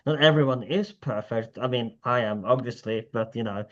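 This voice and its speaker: A man hosting a casual podcast, agreeing often and restarting his sentences as he talks. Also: mid-range voice. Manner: frequent agreeing "yeah", halting restarts. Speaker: podcast host. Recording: dull lo-fi audio.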